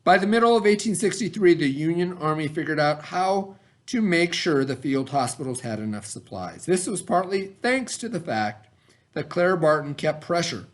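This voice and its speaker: Male teacher reading aloud, higher-pitched voice. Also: sing-song, moderate pace. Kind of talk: read-aloud lesson with questions and simple explanations.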